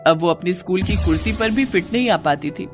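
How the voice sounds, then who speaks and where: A woman narrating a scripted animated moral story as storyteller, in an expressive, dramatized way. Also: fairly low pitch, a little fast, over music.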